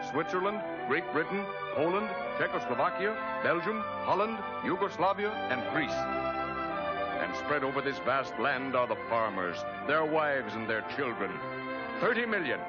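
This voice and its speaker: An American man delivering an emphatic, dramatic scripted narration in the style of a 1940s wartime propaganda film. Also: a little high. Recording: dull vintage 1940s film soundtrack. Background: loud orchestral film score.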